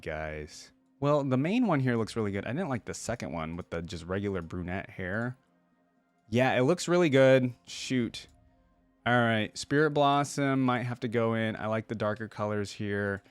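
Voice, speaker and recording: A man's voice: lowish voice, no room sound. A man hosting a reaction video, giving casual, chatty commentary and thinking aloud in an informal way.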